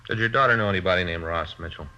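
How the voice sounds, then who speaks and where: A plain-spoken American police detective in an old radio drama. He is very fast, low and sharp-edged, with casual, practical questioning over faint recording noise. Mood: practical, inquiring. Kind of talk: 1950s radio drama acting.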